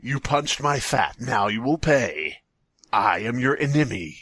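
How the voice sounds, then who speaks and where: A man's voice: mid-range voice, sing-song, measured pace, fairly bright tone, no room sound. A man hosting a comedy podcast, riffing casually and reading silly stories aloud in a jokey way. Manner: jokey, riffing, acts out bits. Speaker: podcast host.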